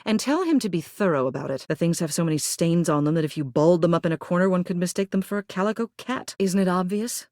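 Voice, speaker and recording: Actress as prim game mayoress, slightly low voice, very expressive intonation, pompous, fussy, condescending. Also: female voice, fast, hardly any pauses, no room sound, studio quality, close to an everyday voice. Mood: haughty, genteel. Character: haughty, prim, meddling lady mayor of the household. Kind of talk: video game character acting.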